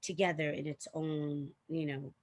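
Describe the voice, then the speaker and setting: A woman with a low voice talks as an interview guest, explaining ideas casually. She thinks out loud, padding her phrases conversationally as she works toward each point. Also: flat delivery, measured pace. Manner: thinks aloud, frequent "like" and "you know". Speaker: guest, teacher and diversity consultant.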